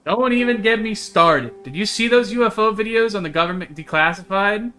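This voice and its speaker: A bright-voiced, talkative young woman monster hunter from a visual novel, read out by a man. She is earnest and eager, with a folksy turn of phrase, and music plays behind her. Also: sits high, lively intonation, fairly quick, voice pushed up. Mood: excited. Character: chatty, earnest young woman who hunts cryptids.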